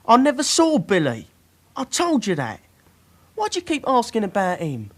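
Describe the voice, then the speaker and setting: A young British petty crook in a radio drama. The voice is high and swoops widely, sullen and defensive under questioning. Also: male voice, wildly swooping pitch, normal speed, a little hiss or hum.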